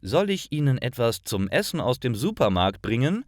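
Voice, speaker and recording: A man voicing a kind, soft-spoken gallery owner. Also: medium pitch, sing-song, moderate pace, no room sound, studio quality, voice pushed up. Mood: gentle.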